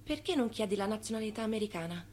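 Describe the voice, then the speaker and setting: An actress playing a devoted, spirited young bride in a theatrical radio drama, speaking emphatically. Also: female voice, mid-range voice, animated, quick pace, darkish tone, slight background noise, lightly acted. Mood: plaintive.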